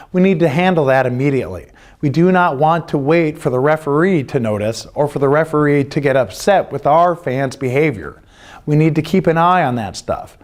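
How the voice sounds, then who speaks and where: A middle-aged American man giving an earnest, plain-spoken instructional presentation as a youth sports coach, stressing and repeating his key points. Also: fairly high pitch. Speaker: youth lacrosse coach and club representative. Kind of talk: instructional presentation of club rules.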